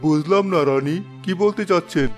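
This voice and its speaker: A kindly, dignified cartoon king, played by a man, speaking formally and courteously. His voice sits slightly high for a man, with faint music underneath. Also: normal speed, natural voice. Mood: troubled. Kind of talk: animated fairy-tale dialogue.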